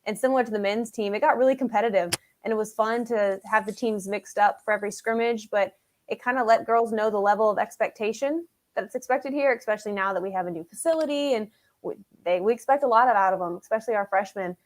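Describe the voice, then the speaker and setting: Fast-talking young woman athlete. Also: medium pitch. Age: 20s. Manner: quick, friendly. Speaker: student athlete. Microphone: video call.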